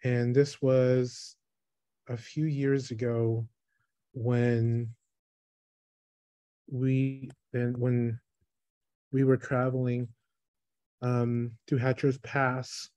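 A man in his thirties, from the Philippines, giving a thoughtful online talk about his photography on a video call, with pauses and restarts. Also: mid-range voice, flat delivery, mellow, no echo, muffled recording. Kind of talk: online presentation. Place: small room, indoors. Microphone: webcam on a video call.

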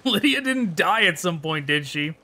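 A man's voice: on the high side, lively intonation, moderate pace, background noise. A man giving casual commentary as he plays through a game. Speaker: gaming YouTuber, let's player. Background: game music and sounds.

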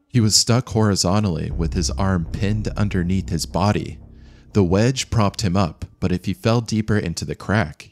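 A man reading scripted documentary narration of a true disaster story in a measured, matter-of-fact way. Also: deep voice, a little hiss or hum. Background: background music.

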